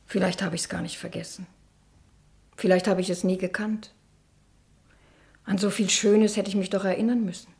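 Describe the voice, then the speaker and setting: Woman acting a timid middle-aged niece in an old radio play, wistful, hesitant, medium pitch. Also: level tone, moderate pace, gravelly, long pauses, a little mellow, close to an everyday voice. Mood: subdued, wistful. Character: lonely, timid, sheltered middle-aged niece, long suppressed. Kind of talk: 1960 German radio drama acting.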